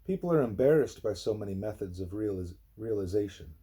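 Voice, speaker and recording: A man reading a spiritual book aloud in a measured, explanatory way, with a husky voice. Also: on the low side, lively intonation, dark tone. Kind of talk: read-aloud scripted narration.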